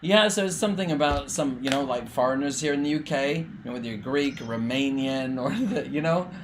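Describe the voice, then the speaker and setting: A man hosts a live online chat, speaking in a relaxed, encouraging way. His voice is mid-pitched, and a crowd is clearly audible behind him. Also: a little fast. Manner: relaxed, encouraging, says "bless you" often. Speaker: host. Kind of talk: casual live interview. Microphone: video call.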